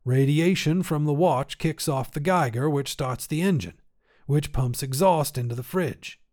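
A man's voice: fairly high pitch, unhurried, a little mellow, lightly acted. A man voicing a stubborn old grandfather who tinkers with inventions, talking in a folksy, clipped, insistent way.

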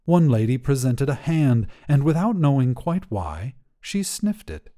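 A male narrator reads literary fiction at an unhurried pace. His voice is soft-edged and warm, with a measured, theatrical sense of storytelling. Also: mid-range voice, very animated.

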